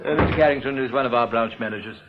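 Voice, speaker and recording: An actor playing a genial but evasive English bank executive in a radio play, patronising, persuasive and given to tactful hesitation. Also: male voice, mid-range voice, rapid-fire, lightly acted. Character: smooth, evasive, genial male bank executive, senior boss. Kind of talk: British radio play acting. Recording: dull, hissy old radio recording.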